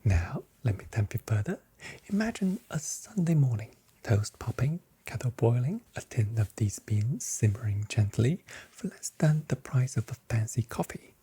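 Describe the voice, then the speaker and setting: A very soft, whispering voice in an ASMR role play as a grocery shop assistant, giving gently playful sales patter. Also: medium pitch, very animated, moderate pace, husky.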